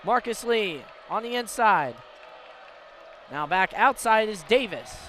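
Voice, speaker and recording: A man's voice: high-pitched, lively intonation, takes it slowly, pushed and bright, a faint crowd. A man doing live radio play-by-play as a sports announcer. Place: sports venue broadcast position. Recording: live sports broadcast with venue noise. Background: clear ambient venue noise.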